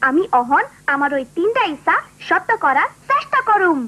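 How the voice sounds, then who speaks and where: A woman's voice: extremely high pitch, very animated, moderate pace, bright, forceful tone, full character voice. A woman with a Bangladeshi accent voicing an earnest, cheerful village child in a children's cartoon. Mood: eager.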